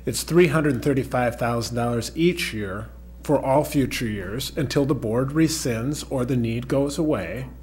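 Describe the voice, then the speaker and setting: A man's voice: mid-range voice, moderate pace, darkish tone, slight background noise. A man at a school board meeting carefully explaining a resolution, with many fillers, speaking into a desk microphone. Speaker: district official explaining a resolution.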